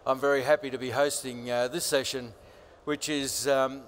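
A man moderates a panel on a conference stage. He talks at a middle pitch, stumbling through fillers and slipping in jokes, with a faint murmur of the event hall behind him. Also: flat delivery, moderate pace, a little hiss or hum. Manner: hesitant, many fillers, jokey. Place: large conference stage with audience.

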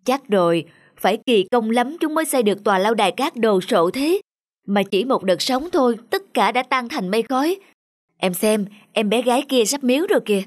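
A woman's voice: fairly high pitch, expressive, fairly quick, no room sound, clean studio sound. A woman voicing a troubled, downcast husband in a cartoon drama, subdued and earnest. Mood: wistful.

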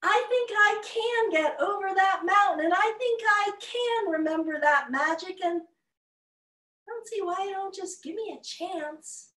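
A woman voicing a small, slow, earnest male turtle who speaks with quiet determination, in an echoey room off the mic. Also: very high voice, recorded from a distance, pitched above the performer's own voice.

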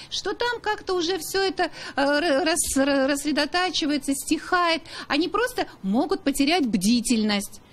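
A middle-aged woman hosting a radio discussion in a studio, brisk and quick to interject. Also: high voice. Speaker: host. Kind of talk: radio talk show moderation. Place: dark studio. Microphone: studio desk mic.

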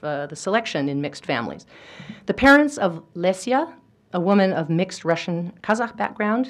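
A middle-aged woman giving an academic history lecture in a lecture hall, talking thoughtfully with fillers and restarts. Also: fairly low pitch.

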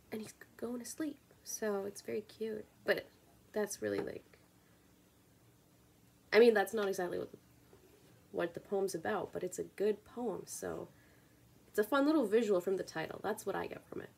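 A woman in her twenties reading poetry and chatting casually on her own video at home, in a measured, deliberate way. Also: mid-range voice, vocal fry, a little hiss or hum. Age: 20s. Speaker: poetry reader and video creator. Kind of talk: spoken word poetry reading with casual introduction. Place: small room at home, indoors.

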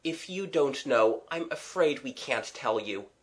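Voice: mid-range voice, expressive, lightly acted. A reader as cool, courteous schoolboy Gerald. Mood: coolly polite. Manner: polite, measured, advising.

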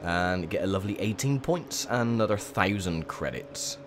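A young man with a croaky, sore voice, chatting casually through gameplay commentary with lots of ums. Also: low voice, expressive, moderate pace, noisy background. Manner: chatty, rambling with many ums. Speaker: gaming video host.

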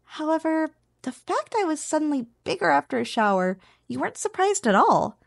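A woman's voice: high voice, very animated, a little fast, gravelly, no room sound, clean studio sound, heavily acted. A woman playing a playful, teasing slime girl roommate in a casual, chatty ASMR roleplay. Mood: teasing, curious. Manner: teasing, chatty, conversational with chuckles. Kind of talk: ASMR roleplay, one-sided casual conversation.

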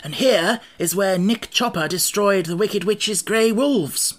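A woman voicing a cheerful, pompous male scarecrow king in a storybook, with a bright and grandly reassuring manner. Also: mid-range voice, takes it slowly, voice pushed up. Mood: reminiscing. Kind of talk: audiobook character voice.

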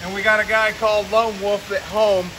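A man's voice: high-pitched, little pitch movement, moderate pace. A man showing off his llamas in a farm yard, easygoing with small fillers, picked up by a phone camera a few steps away. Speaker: llama trek guide. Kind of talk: casual introductions. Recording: noisy outdoor phone recording.

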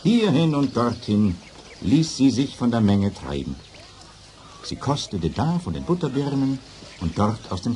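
Low-voiced, animated male storyteller. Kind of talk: scripted storytelling narration for children's radio play. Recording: faint noise of vintage record.

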